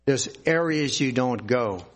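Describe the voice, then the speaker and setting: A man preaching a sermon, reading scripture aloud and explaining it in a deliberate, earnest way. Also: medium pitch, lively intonation.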